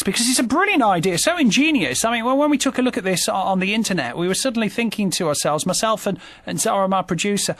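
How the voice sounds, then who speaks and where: A man's voice: high-pitched, fairly bright tone. A man presenting a radio interview in a studio, upbeat and effusive.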